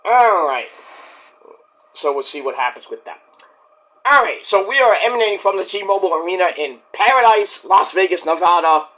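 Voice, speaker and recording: A man with a high, bright voice that is hoarse and worn. He rambles through a casual solo wrestling review, joking as he goes. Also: animated, normal speed, low-quality recording. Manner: rambling, jokey, with bursts of shouting.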